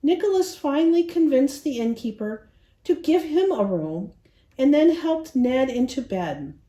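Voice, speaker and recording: Animated, high-voiced woman storyteller, roomy sound. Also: unhurried. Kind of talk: animated children's story reading.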